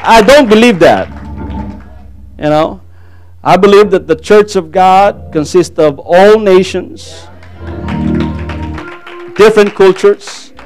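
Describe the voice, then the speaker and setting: A man's voice: high-pitched, slow pace, pauses often, soft background music. A middle-aged Filipino man whose first language is Tagalog, preaching a sermon and sharing his testimony, with many amens and praise Gods. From Philippines. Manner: frequent amens and praise God, earnest. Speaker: pastor.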